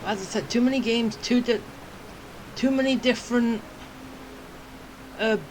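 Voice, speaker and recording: A woman hosting a gaming video with casual commentary in halting, muttered asides. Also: fairly high pitch, background noise.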